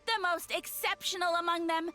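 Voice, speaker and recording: A woman's voice: very high-pitched, fairly even intonation, normal speed, sharp tone, soft background music. A woman playing a boastful, evasive male mushroom creature in a video game, with a pompous, theatrical delivery. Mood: grandiose. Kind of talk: video game character acting.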